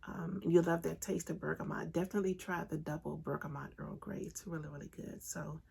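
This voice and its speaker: A woman hosting a crafting podcast, chatting casually with lots of enthusiasm and frequent ums, her voice raspy and congested from a recent illness. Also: deep voice, fairly even intonation, a little fast, darkish tone. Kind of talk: casual solo podcast chat.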